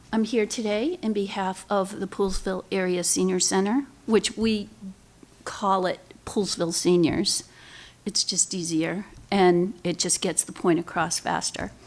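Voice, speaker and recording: A soft-spoken woman presenting on behalf of a senior center at a town meeting, speaking into a table microphone with frequent fillers. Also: mid-range voice. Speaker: senior center representative giving public comment.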